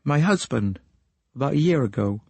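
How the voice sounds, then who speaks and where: A man's voice: medium pitch, animated, gravelly, a little mellow, husky, dry recording, voice pushed up. Man voicing a fretful, anxious middle-aged wife. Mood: indignant. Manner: fretful, gossipy, quick to take offence. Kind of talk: audiobook character voice.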